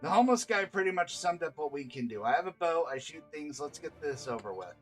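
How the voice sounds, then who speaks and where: A man puts on a stoic elf archer from a fantasy tabletop tale. The voice sits a little high and grumbles in short, exasperated bursts. Soft music plays behind the fed-up delivery. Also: sing-song, fairly quick. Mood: curt, grumbling.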